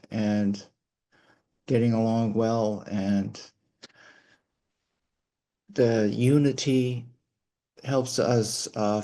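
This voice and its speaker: A man answering interview questions conversationally, haltingly, with many pauses as he searches for words. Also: on the low side, mellow.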